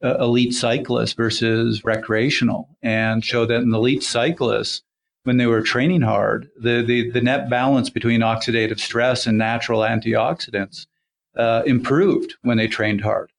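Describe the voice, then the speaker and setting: A man's voice: mid-range voice, steady tone, moderate pace, creaky. A man in a podcast discussion, agreeably citing research.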